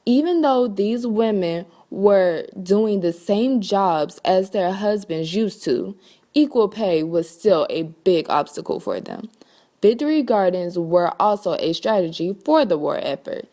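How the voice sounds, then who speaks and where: A woman narrates a history documentary from a script. Her tone is dark and mellow, at an average pitch for a woman. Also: sing-song, a little slow.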